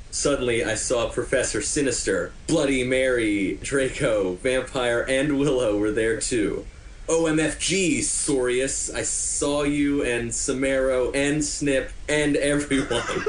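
A man's voice: mid-range voice, roomy sound, a little hiss or hum, rough recording. A man giving a comic dramatic reading of fan fiction, prone to cracking up. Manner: prone to breaking up laughing. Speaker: podcast host doing a dramatic reading.

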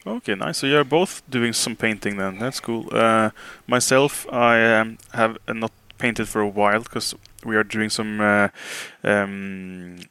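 A man's voice: lowish voice, measured pace, hardly any pauses, sharp tone, smooth, no echo. A Norwegian man hosting a casual podcast chat in English, speaking with a Norwegian accent and many hesitant fillers. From Norway. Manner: hesitant, filler-heavy, laughs easily. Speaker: podcast host. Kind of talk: casual chat over a call.

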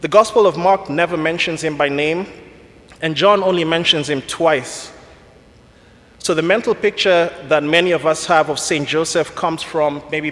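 A man in his forties with a Kenyan accent, giving an earnest, measured reflection to a church congregation. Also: a little high, a bit bright. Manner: earnest, measured, slightly self-deprecating. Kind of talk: prepared church talk. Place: church sanctuary. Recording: long church reverb.

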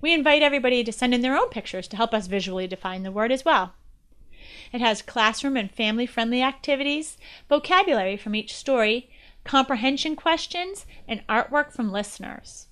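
Female podcast presenter, higher-pitched and very clear-voiced, delivering scripted educational narration in a presentational manner. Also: lively intonation, a little fast, a bit bright. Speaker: guest podcast host.

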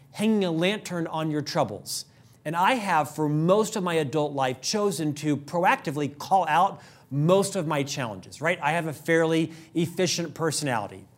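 A middle-aged man hosting a leadership show, talking fast and upbeat in a prepared monologue, with an occasional stutter on a word. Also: a little high. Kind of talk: scripted monologue to camera.

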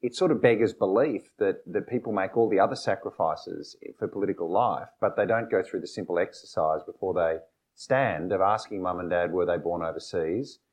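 A man moderating a live discussion, picking questioners and asking pointed follow-up questions briskly. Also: deep voice. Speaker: moderator, think-tank director.

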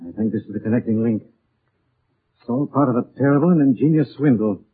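A man plays a composed gentleman detective in a vintage radio mystery. He speaks gravely and deliberately in a husky, medium-pitched voice. The sound is muffled 1940s radio. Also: natural voice. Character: composed, deductive gentleman sleuth. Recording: muffled, narrow-band 1940s radio recording.

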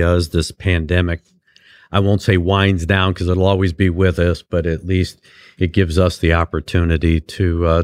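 Very deep-voiced genial male podcast host. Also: dry recording. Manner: genial and rambling, with many "uh" fillers. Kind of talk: casual podcast chat. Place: university radio studio.

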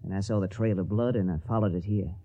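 An actor playing a grave, honorable young Confederate volunteer in a radio drama, speaking earnestly. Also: male voice, on the low side, steady tone, quick pace, warm tone, no echo, muffled recording, lightly acted.

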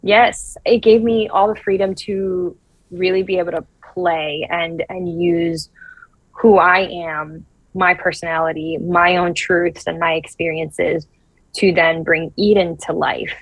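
A woman in her thirties from Brazil speaks in a lowish, thoughtful voice, often stopping and restarting as she finds her words. The sound is close and dry, with no room around it. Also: steady tone, no room sound, low-quality recording. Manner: thoughtful, halting with many restarts and fillers. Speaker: actress guest. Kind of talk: casual interview answers.

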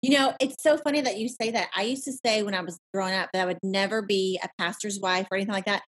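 Woman, podcast host, husky voice, upbeat, encouraging, video call. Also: mid-range voice, fast. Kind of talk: casual interview chat.

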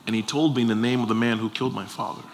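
A man's voice: fairly low pitch, steady tone, fast talker. A man from Liberia in West Africa preaching a sermon to a congregation, emphatic, repeating phrases and posing rhetorical questions. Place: live before a congregation. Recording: clear background noise, live room ambience.

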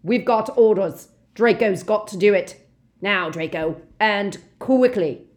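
A woman's voice: mid-range voice, takes it slowly, voice pushed up. A woman voicing a brutal male henchman who barks orders sharply. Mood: commanding. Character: brutal heavy-faced male henchman, impatient.

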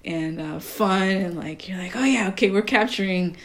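A woman from Costa Rica talks as a guest about her own film. Her speech is animated and full of fillers, and she often breaks into laughter. Her voice sits at a middle pitch. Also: normal speed, slight background noise. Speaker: filmmaker guest. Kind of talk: casual interview conversation.

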